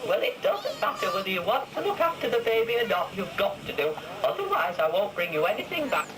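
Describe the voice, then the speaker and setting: A man's voice: high voice, very animated, fairly quick. A man voicing a bossy, nagging wife in an outdoor puppet show, recorded at a distance among a crowd. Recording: distant voice under loud crowd noise.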